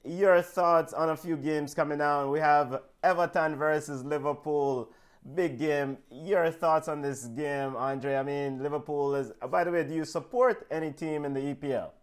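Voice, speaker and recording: A man hosting a sports talk show, brisk and upbeat, keeping things moving against the clock. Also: fairly high pitch, steady tone. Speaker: host.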